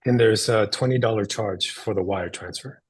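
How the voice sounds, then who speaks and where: A man's voice: fairly low pitch, normal speed, darkish tone, breathy edge. A man playing a courteous bank teller in his twenties in a script read, businesslike. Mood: polite, businesslike. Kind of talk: dramatic script table read.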